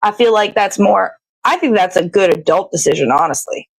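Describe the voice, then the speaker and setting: A woman with a middle-pitched voice chats casually as a livestream host. She meanders through her thoughts, stops to hunt for the right word and swears offhandedly. The sound is dry, with very little room echo. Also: animated, brisk, creaky. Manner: meandering, searching for words, casually profane. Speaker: live show host. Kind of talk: casual rambling livestream chat.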